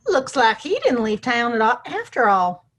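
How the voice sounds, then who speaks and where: Woman playing a high-voiced feisty frontier woman. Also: big pitch swings, moderate pace, a bit bright. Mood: coy. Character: feisty, outspoken small-town frontier woman, teasing friend. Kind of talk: audio play western dialogue.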